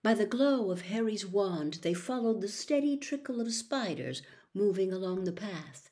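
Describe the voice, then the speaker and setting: A woman's voice: mid-range voice, lively intonation, normal speed, dark tone. A woman reading a children's fantasy novel aloud as a storybook narrator. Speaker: audiobook reader.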